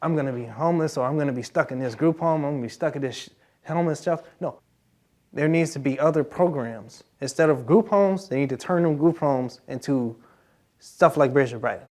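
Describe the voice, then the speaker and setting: A man's voice: fairly high pitch, brisk, a little mellow. A man of twenty-one speaking earnestly about his own experience in an interview. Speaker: youth who aged out of foster care.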